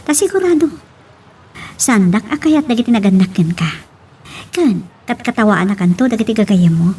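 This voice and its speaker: A woman's voice: mid-range voice, lively intonation, moderate pace, dark tone, breathy edge. A woman playing a proud, hardworking young student wary of her suitor, in an Ilocano-language radio drama. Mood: firm, guarded.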